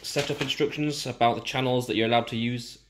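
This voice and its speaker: A man's voice: mid-range voice, steady tone, fairly quick, background noise. British man giving a casual product review. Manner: informal, explanatory.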